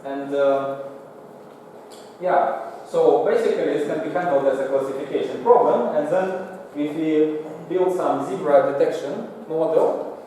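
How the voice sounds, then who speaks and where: A man's voice: fairly high pitch, measured pace, dark tone. Man lecturing in a very echoey hall. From Singapore. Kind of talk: technical lecture with slides. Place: lecture room, indoors. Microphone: far from the mouth, room pickup. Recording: echoey hall, distant mic, faint noise.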